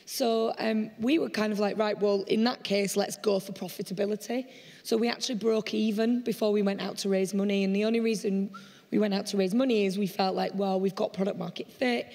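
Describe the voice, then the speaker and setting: A croaky-voiced woman speaks as a founder on a conference stage. Her delivery is candid and conversational but fairly flat in pitch, and her voice rings out with the long reverb of a large hall. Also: brisk. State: hoarse, croaky voice. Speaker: startup founder on a conference panel. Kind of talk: panel discussion.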